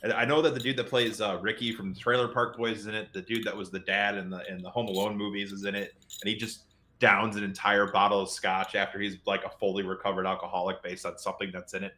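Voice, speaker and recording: Male co-host, slightly deep with a sharp, bright edge, loose casual conversation, stop-and-start delivery. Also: level tone, quick pace. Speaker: podcast co-host. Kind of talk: casual chat.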